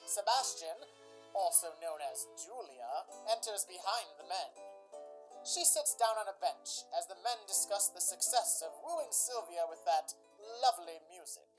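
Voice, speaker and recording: A woman's voice: fairly low pitch, animated, a little slow, low-quality recording. A woman announcing and narrating a radio play in a theatrical, old-time style. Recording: music under the voice.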